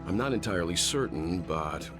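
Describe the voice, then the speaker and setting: An actor playing a composed, thoughtful mature man in a video game, speaking in a measured, formal and deliberate way. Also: sits low, animated, loud music behind the voice. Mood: grave, measured.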